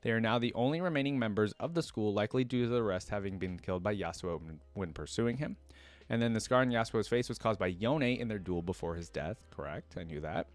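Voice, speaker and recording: A man hosting a reaction video, reading lore aloud and breaking in with informal, off-the-cuff commentary as he thinks out loud. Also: fairly low pitch, lively intonation, a little fast, no echo, soft background music. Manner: informal, thinks aloud between read passages.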